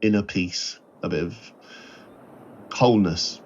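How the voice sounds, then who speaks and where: A man's voice: deep voice, takes it slowly, slight background noise. A middle-aged man reminiscing casually and chattily, repeating phrases for emphasis. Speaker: interviewee sharing memories. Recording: dull, slightly lo-fi sound.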